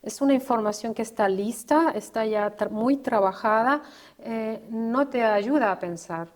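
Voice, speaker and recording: A woman in her fifties from Chile, with a Chilean accent, telling her life story as an interview guest and often pausing to search for words. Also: on the high side, darkish tone. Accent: Chilean Spanish. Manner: reflective, searches for words with frequent restarts. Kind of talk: personal testimony in conversation.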